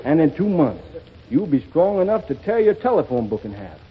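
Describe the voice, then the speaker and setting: An actor playing a stern, bossy gym instructor in a 1930s radio comedy sketch, brisk and scolding. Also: male voice, a little high, very animated, slightly rough. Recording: tinny reverberant old radio broadcast.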